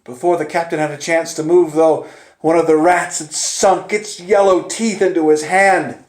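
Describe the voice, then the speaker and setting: A man telling a dramatic first-person story in a theatrical manner, moving between hushed tones and urgent cries. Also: a little high, fairly even intonation, normal speed. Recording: echoey, roomy sound.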